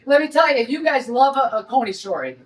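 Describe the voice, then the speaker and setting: A woman's voice: sits high, lively intonation, brisk, distant mic. A middle-aged woman from Detroit with a Detroit accent, a restaurant owner holding a blunt, indignant press conference.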